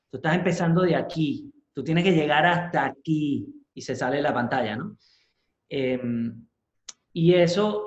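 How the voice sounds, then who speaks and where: A Venezuelan man with a mid-pitched voice speaks as an interview guest. He talks casually and haltingly, doubling back on words and dropping in English terms. The sound is roomy and slightly dull. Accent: Venezuelan Spanish. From Caracas, Venezuela. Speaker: interview guest, business professional. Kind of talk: casual conversational interview, personal storytelling.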